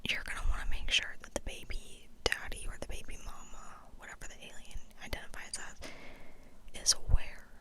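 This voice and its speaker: A woman whispering a chatty, tongue-in-cheek ASMR monologue full of internet slang, sometimes repeating phrases slowly. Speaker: ASMR video creator.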